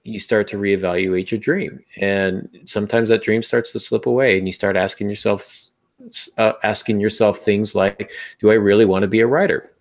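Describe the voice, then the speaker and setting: Guy hosting a podcast, low creaky voice, chatty and encouraging, talks right at you. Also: fairly even intonation, moderate pace. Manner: encouraging, addresses listener directly. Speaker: podcast host. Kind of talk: conversational advice to writers.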